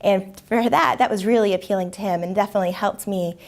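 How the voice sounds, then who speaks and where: A woman's voice: medium pitch, fast. A young woman from the United States with a mid-range voice. She talks fluently and with upbeat energy as an MBA student giving a testimonial. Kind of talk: testimonial interview.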